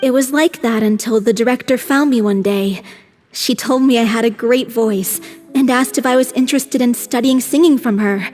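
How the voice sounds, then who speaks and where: A woman's voice: on the high side, moderate pace, a little mellow, natural voice. An actress playing an earnest young female troupe singer who lives with a chronic illness, in a game cutscene. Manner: earnest, scolding when worried. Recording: reverberant game mix with faint music.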